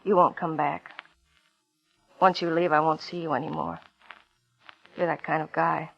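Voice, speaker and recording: Casting: world-weary young woman, low-pitched, wry and wounded, old radio drama with music underneath. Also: level tone, a little slow, creaky, slightly rough, muffled recording, heavily acted. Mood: pleading, wistful. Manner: wry, bitter, vulnerable. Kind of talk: 1950s radio drama acting.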